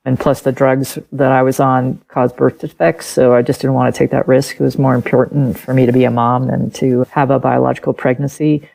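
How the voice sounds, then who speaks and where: A middle-aged woman whose first language is German speaks in a low voice with a gravelly edge. She is an interview guest telling her personal story candidly and animatedly, acting out old conversations. Her voice stays deep and textured throughout. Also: fairly quick, hardly any pauses, low-quality recording. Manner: candid, animated, acts out past conversations and laughs often. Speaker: author and podcast guest.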